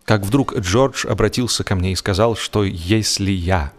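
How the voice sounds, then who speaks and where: A man reading a humorous literary classic as an audiobook narrator, expressively and wryly, with drawn-out pauses and emphatic phrasing. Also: lowish voice, faint music behind.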